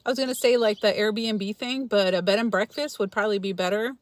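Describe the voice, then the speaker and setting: A woman's voice: mid-range voice, fairly quick, dry recording. A middle-aged woman from Los Angeles, hosting a casual livestream and chatting with viewers by name, laughing often. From Los Angeles, California. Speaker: frugal-living channel host reading viewer comments.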